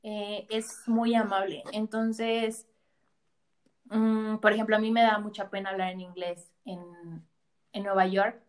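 A woman's voice: medium pitch, level tone, slow. Mexican woman guest telling stories. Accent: Mexican Spanish. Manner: storytelling, laughs often. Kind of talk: casual chat.

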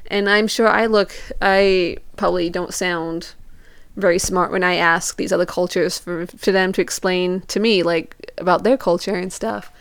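A woman's voice: fairly low pitch, steady tone, moderate pace, clean tone, dry recording. A young woman telling personal stories in a casual, chatty way, with informal phrasing and quoted bits of conversation. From Portugal and England. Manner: informal, peppered with "like", acts out quoted questions.

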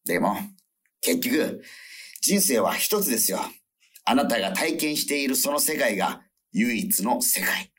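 A man's voice: mid-range voice, animated, measured pace, fairly bright tone, breathy edge. A man playing a self-pitying, evasive male radio host with a theatrical manner in a comic radio drama. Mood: airy, evasive. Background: background music.

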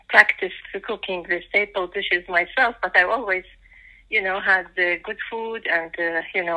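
A woman's voice: medium pitch, sharp tone. An older woman from Iraq, a cookbook author, talking as a guest in a casual interview, hesitant with many fillers and restarts, heard over a phone line.

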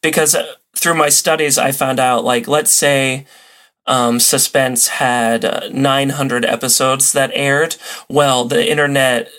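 A man's voice: medium pitch, fairly even intonation, slow pace, a bit bright. A man speaking as a podcast guest, giving casual, conversational answers in a friendly, easygoing way. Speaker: podcast guest, old-time radio hobbyist.

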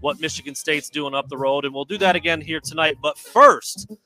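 A man's voice: fairly high pitch, fairly quick, pushed and bright, soft background music. A man hosting a sports podcast, chatting in an upbeat, friendly way and calling his guests dude. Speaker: podcast host. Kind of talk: casual sports podcast chat.

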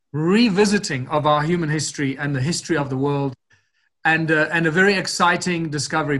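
A man's voice: on the high side, a bit bright. A man guest in an interview, speaking earnestly and expansively, often restarting and repeating words. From Southern Africa. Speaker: interview guest, researcher of ancient ruins. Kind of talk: long-form podcast interview.

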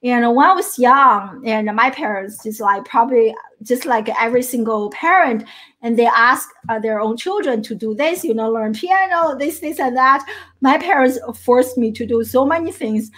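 A middle-aged woman from China speaks with a Chinese accent and a high voice. She gives a motivational coaching talk in an earnest way, restarting phrases and checking in with her listeners. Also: normal speed, a bit bright. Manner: earnest, many restarts and "right?" tags.

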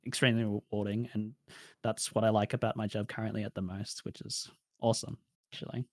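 A man's voice: on the low side, little pitch movement, fast talker, no echo. Young australian man, thoughtful, lots of fillers, dry close recording. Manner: reflective, many fillers like "like" and "um". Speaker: interviewee. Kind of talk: casual interview answers.

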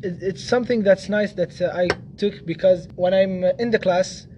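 A teenage high school student whose first language is Arabic, talking hesitantly with fillers and restarted words in a casual podcast interview. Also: male voice, normal speed, dark tone, muffled recording, slight background noise. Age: teens. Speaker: student guest.

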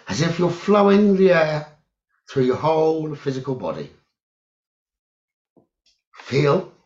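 A man leading a guided meditation, giving gentle instructions in short phrases with long pauses, on a muffled recording. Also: on the high side. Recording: muffled, dull lo-fi audio.

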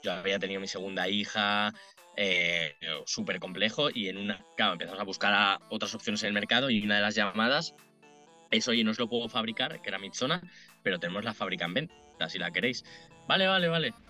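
Madrid man in his thirties, very bright low voice. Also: fast talker, faint music behind. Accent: Madrid Spanish. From Madrid, Spain. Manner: informal, colloquial. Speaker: podcast guest, entrepreneur. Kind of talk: conversational interview answers.

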